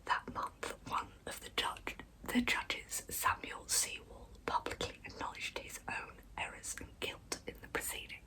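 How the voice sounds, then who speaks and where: A woman whispering a true crime story as an ASMR host, hushed throughout. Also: a little slow, few pauses, low-quality recording.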